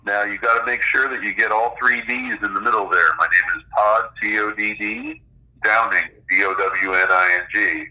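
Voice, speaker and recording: A man being interviewed as an author, explaining things conversationally with halting pauses over a dull, band-limited line. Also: on the low side, fairly even intonation, pushed and bright.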